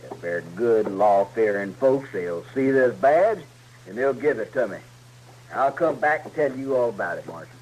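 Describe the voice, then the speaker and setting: An actor playing a bullying, hot-tempered outlaw with a sarcastic, menacing rural Western American drawl in a radio drama. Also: male voice, mid-range voice, animated, moderate pace, a little mellow, lightly acted. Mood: mock-friendly. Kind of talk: old-time radio Western acting. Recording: slightly noisy vintage radio recording.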